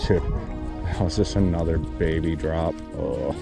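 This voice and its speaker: A young man filming himself fishing a small wooded creek outdoors on an action camera, exclaiming excitedly to viewers as he lands fish. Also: rumbling low, slow pace, vocal fry, over music. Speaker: fishing vlogger.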